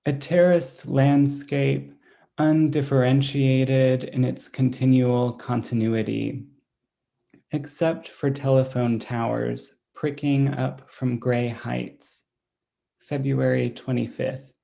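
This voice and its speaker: A man reading another poet's diary-like poem aloud, slow and deliberate, closing each entry with a date. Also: mid-range voice, gravelly. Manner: deliberate, dated entries read flatly.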